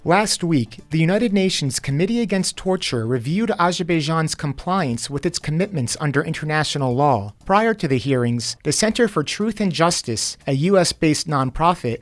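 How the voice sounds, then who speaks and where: A man presenting the news from a script in a measured, formal newsreader delivery. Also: a little high.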